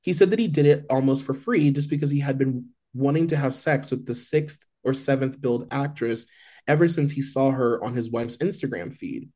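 A man's voice: medium pitch, moderate pace, mellow. A man co-hosting a podcast, chatting loosely about celebrity gossip and leaning on "like" a lot. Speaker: podcast co-host. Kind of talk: casual celebrity gossip chat.

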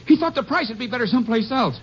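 An actor playing a nervous, evasive farmer, stammering and rustic, in a 1950s western radio drama. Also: male voice, very high voice, expressive, fast, slightly rough, heavily acted. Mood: nervous, cornered. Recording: muffled old radio, faint hiss.

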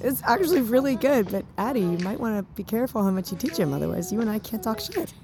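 A woman's voice: on the high side, big pitch swings, fast talker. An actress playing a wry, bossy but affectionate woman with a casual, teasing delivery in an audio drama. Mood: warm, teasing. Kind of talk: naturalistic audio drama acting. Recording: music behind the voice.

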